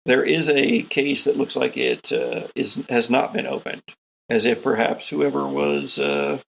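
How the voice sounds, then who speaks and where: A man with a gravelly, husky voice, narrating a tabletop role-playing game as game master in an unhurried, descriptive way. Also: mid-range voice, very animated, low-quality recording.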